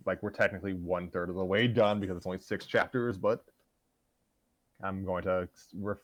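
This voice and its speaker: A tired-sounding man hosting a casual livestream chat, speaking in a droll, low-energy, hesitant way. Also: dry recording. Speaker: host of an online story-reading show.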